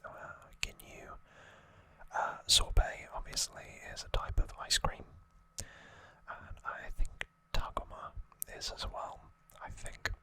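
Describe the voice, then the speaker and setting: A man's voice: takes it slowly, pushed and bright, poor audio. A man whispering as an ASMR host, giving an informal, rambling trivia recap and correcting himself as he goes.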